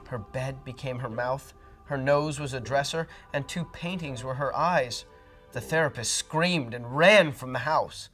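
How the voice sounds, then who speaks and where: A middle-aged man reading a children's storybook aloud, animated and dramatic. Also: mid-range voice, unhurried, faint music behind. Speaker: storybook reader. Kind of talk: expressive storybook read-aloud.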